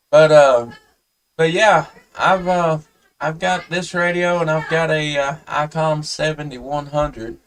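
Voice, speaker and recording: A man with a slightly raised pitch talks as a ham radio operator. His delivery is casual, plain-spoken and halting. He sounds a little away from the microphone. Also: recorded from a distance, a little hiss or hum, low-quality recording. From United States. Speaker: amateur radio operator hosting a live stream.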